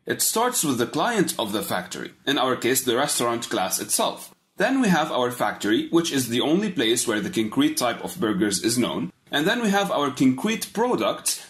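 Lively-voiced man explaining code. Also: mid-range voice. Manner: explanatory, measured, walks through code step by step. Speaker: programming tutorial narrator. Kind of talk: scripted narration.

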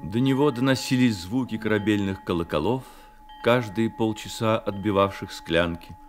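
A man narrating a radio drama as scripted literary storytelling, in a measured manner. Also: on the low side, soft background music.

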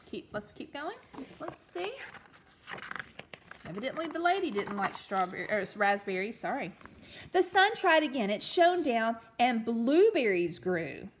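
A woman reading a storybook aloud to young children as a teacher, expressively, pausing to explain and ask questions. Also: a little high, slight background noise. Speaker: elementary teacher reading a picture book aloud.

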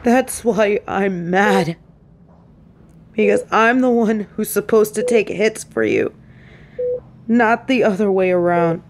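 A woman's voice: mid-range voice, lively intonation, slight background noise. A woman playing a stern, fiercely protective secret agent secretly in love, in an intimate, emotional audio roleplay. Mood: upset, insistent. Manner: slow, emphatic, emotionally intense delivery.

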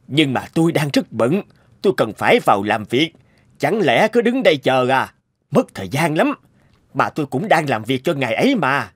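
A man's voice: mid-range voice, big pitch swings, moderate pace, slightly rough. A man voicing a busy, grumbling male research scientist in a cartoon, with a harried manner. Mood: impatient. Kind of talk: cartoon voice acting.

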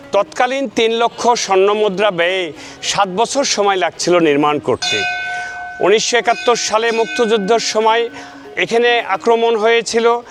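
A man with a notably high voice, working as a temple attendant, walks a visitor through the site's history. He recites dates and facts, pausing now and then with small hesitations. He is recorded outdoors, with faint music laid underneath. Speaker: archaeology department temple attendant. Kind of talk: on-site guided explanation.

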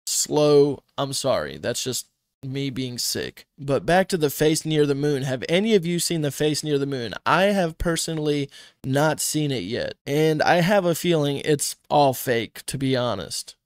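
A man's voice: a little high, expressive, unhurried, dry recording. A young man hosting a casual reaction show from a home studio, sounding congested from being sick, chatty and skeptical. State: congested from illness. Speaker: host reacting to creepy videos. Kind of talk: casual commentary. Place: home streaming room. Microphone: studio mic on boom arm.

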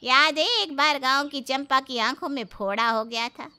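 A woman's voice: high voice, moderate pace, bright, forceful tone, pitched above the performer's own voice. A woman voicing an opinionated elderly village aunt in a cartoon story, grumbling and folksy. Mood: grumbling, nostalgic.

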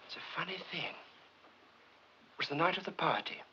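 An actor playing a suspicious, high-strung male scientist, tense and clipped in 1950s film style. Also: a little slow, sharp tone. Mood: shaken, confiding. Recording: dull, noisy 1950s film soundtrack.

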